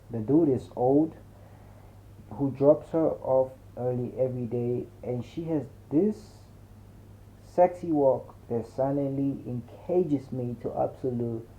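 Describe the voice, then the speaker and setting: Male audiobook narrator, average pitch, conversational and emphatic storytelling, thin telephone-grade sound. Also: dark tone. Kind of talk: dramatic first-person audiobook narration with slangy conversational delivery. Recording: muffled narrow phone-like audio.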